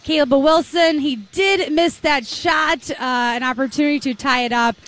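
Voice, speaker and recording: A woman with a slightly raspy voice hosting a courtside postgame basketball recap in an upbeat, conversational way. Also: high-pitched, moderate pace, a bit bright. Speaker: courtside sports reporter and host. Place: courtside at an arena.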